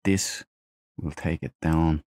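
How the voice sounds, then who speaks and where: A man's voice: rumbling low, steady tone, slow, a little mellow, dry recording, clean studio sound. A man giving casual let's play commentary, muttering and thinking aloud as he goes. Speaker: gamer.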